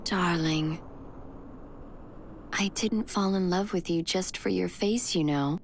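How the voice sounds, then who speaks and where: An actress playing a gentle, patient mother and faithful wife in a video game drama, tender, measured and soothing. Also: fairly low pitch, creaky, slight background noise. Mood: tender, understanding. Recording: loud music behind the voice. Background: loud background music.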